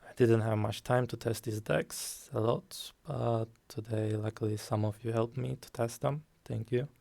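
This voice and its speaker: A man streaming a card game, talking calmly and softly, with frequent ums. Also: mid-range voice, gravelly. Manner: slow, calm, soft-spoken with frequent ums. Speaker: guest streamer. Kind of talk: casual game stream commentary.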